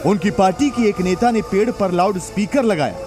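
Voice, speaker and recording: High-pitched man narrating dramatic news. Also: moderate pace, over music. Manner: dramatic, emphatic delivery.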